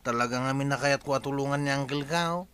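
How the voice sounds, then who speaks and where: A man's voice: medium pitch, fast talker, lightly acted. An actor with an Ilocano accent playing a fussy, scolding younger male relative in a village radio comedy drama, exclamatory and nagging. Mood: concerned, nagging.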